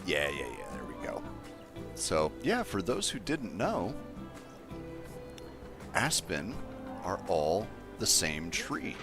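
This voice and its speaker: Deep-voiced relaxed man streaming a game. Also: slow pace, pauses often, music in the background. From Colorado, USA. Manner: relaxed, thinks aloud while building, chats to viewers. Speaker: livestreamer. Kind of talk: casual gameplay livestream chat.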